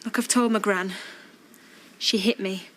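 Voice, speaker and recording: An actress playing a defiant, self-pitying grown-up daughter in a TV soap, sarcastic and accusing, with a Northern English accent. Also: female voice, mid-range voice, steady tone, a little slow, fairly bright tone, slight background noise, natural voice. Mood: aggrieved. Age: young adult. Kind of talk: naturalistic television soap drama acting.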